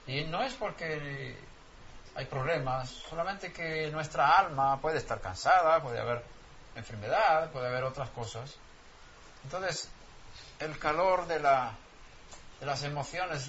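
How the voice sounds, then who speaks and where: A man's voice: mid-range voice, a bit bright, a little hiss or hum. A man whose first language is Swedish, preaching a sermon and pressing his point with rhetorical questions. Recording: dull lo-fi sound, faint ambience.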